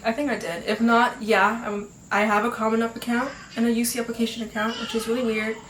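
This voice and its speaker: A woman's voice: a little high, flat delivery, quick pace, fairly bright tone, slight background noise. Chatty teen girl hosting a podcast. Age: teens. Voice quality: slightly nasal from congestion. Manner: chatty, upbeat, many fillers and laughs. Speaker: podcast host. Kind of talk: casual chat. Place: home room. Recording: echoey home room, faint ambience.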